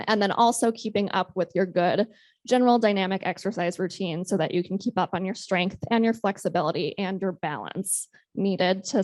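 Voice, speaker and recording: A woman's voice: medium pitch, no echo. A woman occupational therapist giving a practical presentation to a club, walking the audience through simple exercises in a friendly, instructive way. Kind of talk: health talk to a club.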